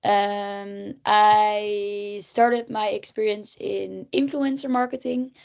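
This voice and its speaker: A woman's voice: medium pitch, level tone, takes it slowly, gravelly, a little mellow. A woman introducing herself to an online class, chatty with many fillers. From the Netherlands. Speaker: student. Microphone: video call.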